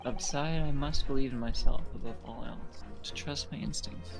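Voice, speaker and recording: Man playing an earnest, gravelly young student lawyer. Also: mid-range voice, steady tone, normal speed, over music, lightly acted. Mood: earnest, resolute. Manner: earnest, hesitant. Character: earnest young male student lawyer, hesitant but resolute. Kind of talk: fan-dub visual novel acting.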